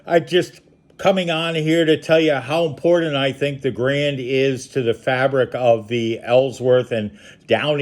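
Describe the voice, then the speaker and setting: A man of ordinary pitch gives a relaxed, informal testimonial. He speaks casually, often pausing with filler words and small restarts. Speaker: media company representative giving a testimonial.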